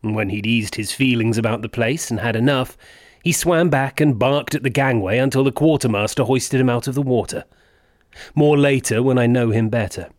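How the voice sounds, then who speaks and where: A man's voice: mid-range voice, moderate pace, no room sound, studio quality, natural voice. An actor playing a proud, genial middle-aged British naval captain reading his wartime log in clipped, jovial phrases. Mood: fond, amused. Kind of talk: dramatised wartime diary reading.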